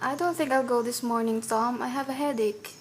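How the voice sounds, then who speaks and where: A woman's voice: fairly high pitch, a little fast, a little hiss or hum, natural voice. A woman acting an anguished, wistful wife who never ages, in a dramatic radio play. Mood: subdued, wistful. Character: anguished wife, Sarah Moonlight, cursed to stay forever young. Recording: radio play with loud music behind.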